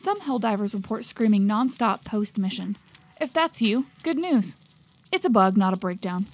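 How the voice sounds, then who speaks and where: A woman playing a wry, deadpan military tech correspondent reading a scripted radio bulletin in clipped phrases. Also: medium pitch, normal speed, close to an everyday voice. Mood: wry, instructive. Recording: muffled lo-fi radio sound with music behind.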